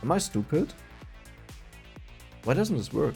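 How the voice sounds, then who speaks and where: A man's voice: fairly high pitch, big pitch swings, a little slow, creaky, a little mellow, faint music behind. A young man from Germany with a German accent, chatting casually and laughing at himself while commenting on a video game. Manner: chatty, self-mocking, laughs often. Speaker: gaming YouTuber.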